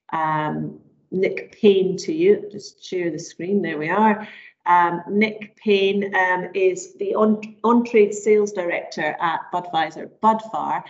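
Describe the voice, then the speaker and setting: A woman hosting an online session and introducing a guest, speaking hesitantly with many fillers. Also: fairly low pitch. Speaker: webinar host. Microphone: video call. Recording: dull lo-fi video call audio.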